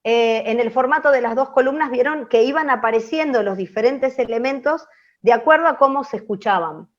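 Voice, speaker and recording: A high-voiced Argentine woman explains like a teacher, searching for words and checking in with her listeners. The recording sounds slightly dull and muffled. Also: a little fast. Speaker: radio drama teacher. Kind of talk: explanatory online class, spoken off the cuff.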